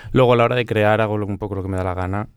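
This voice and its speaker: A man's voice: fairly low pitch, fairly even intonation, racing, clear, resonant tone, no echo. A young man from Murcia, a choreographer chatting casually as a guest and laughing often. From Murcia, Spain. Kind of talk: casual interview talk.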